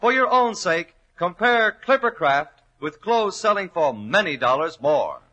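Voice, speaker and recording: A man's voice: high-pitched, very animated, unhurried, sharp tone, muffled recording, a little hiss or hum. A man working as a radio announcer, reading a scripted 1940s advertisement with a polished salesman's delivery. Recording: old 1940s radio recording.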